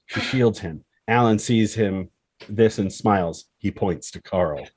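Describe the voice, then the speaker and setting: A man with a husky voice reading dramatic screenplay stage directions as narrator. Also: on the low side, a little slow, darkish tone.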